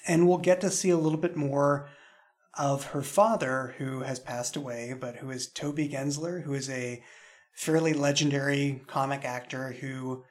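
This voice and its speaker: A man talking casually as a show creator on a podcast, halting as he thinks aloud. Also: mid-range voice, steady tone. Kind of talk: casual chat in a behind-the-scenes podcast.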